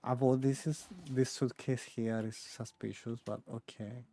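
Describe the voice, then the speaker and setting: A woman's voice: very deep voice, steady tone, measured pace, dark tone. A woman casually commenting as she plays a game, musing aloud in short repeated phrases. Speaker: game streamer.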